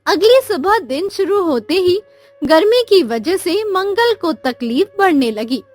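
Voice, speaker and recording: A woman's voice: very high-pitched, lively intonation, unhurried, faint music behind. A woman narrating a scripted cartoon moral story.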